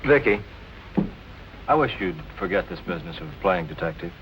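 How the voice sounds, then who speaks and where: An actor playing a protective, slightly jealous, uneasy suitor in 1940s film noir style. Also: male voice, low-pitched, big pitch swings, moderate pace, vocal fry, heavily acted. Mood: concerned, fond. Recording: noisy old film soundtrack.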